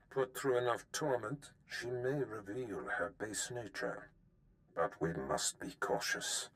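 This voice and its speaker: A man's voice: lowish voice, a bit bright, poor audio. A man playing a cruel, scheming Sith master in a video game, speaking in a condescending, theatrical manner.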